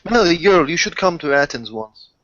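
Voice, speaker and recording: A man playing a roleplaying game in improvised conversation, halting and often repeating his phrases. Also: a little high, very animated, a bit bright. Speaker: player in an online roleplaying game. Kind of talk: in-character improvised roleplay chat. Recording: dry, dull lo-fi voice chat.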